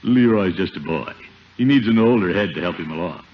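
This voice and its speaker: An actor playing a pompous, easily flustered middle-aged uncle in a 1950s radio sitcom, blustering and stammering. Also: male voice, low-pitched, expressive, brisk. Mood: self-satisfied. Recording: muffled, noisy 1950 radio recording.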